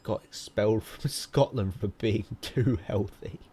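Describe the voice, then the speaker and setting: A man's voice: lowish voice, a little slow, husky. A young man casually live-streaming a driving game, rambling, joking at his own expense and swearing as he chats to viewers. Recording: loud game engine and traffic noise behind.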